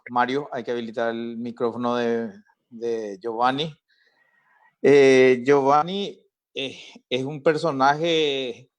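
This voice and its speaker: A man hosting and moderating a live online panel, chatting easily and passing the floor to the next guest. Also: medium pitch. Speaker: host and moderator.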